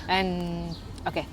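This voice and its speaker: Young Albanian woman interviewee, low-pitched and friendly, with clear outdoor noise behind her. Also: recorded from a distance. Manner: friendly, halting, self-correcting. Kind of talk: street interview. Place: outdoors in a city.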